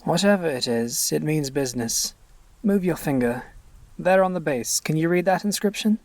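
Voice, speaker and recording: A man's voice: a little high, lively intonation, normal speed, darkish tone, no room sound. An actor playing a morbidly fascinated, learned grave robber in an audio drama, relishing his words and dropping into whispers. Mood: intrigued, dry.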